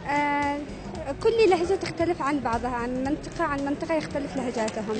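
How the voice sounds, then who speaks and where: A woman's voice: sits high, animated, quick pace, over music. A woman from Taiz giving brief, shy answers in a street interview. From Taiz, Yemen. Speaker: passerby interviewee.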